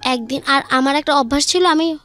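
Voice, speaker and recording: A woman's voice: high voice, a little fast, a bit bright, faint music behind. A young woman with a Bangladeshi accent, a guest telling her own life story in informal speech. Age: young adult.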